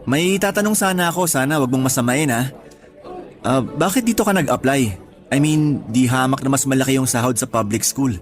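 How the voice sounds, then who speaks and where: A young male teacher played by a radio drama actor talks in a mid-pitched, casual voice. He is friendly and reassuring, teasing a little like a helpful colleague, over faint music. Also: a little fast, lightly acted. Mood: sincere, slightly hesitant. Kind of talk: conversational Tagalog radio drama acting.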